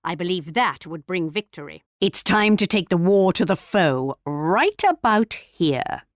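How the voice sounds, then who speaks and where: A woman's voice: lowish voice, expressive, measured pace, no echo. A regal British queen from a strategy game, speaks with formal poise and proud, gracious courtesy. Her delivery swoops expressively through the lines. The recording is dry and close, with no room around it. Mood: commanding, confident. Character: regal English queen, gracious, proud, politely cutting war ally.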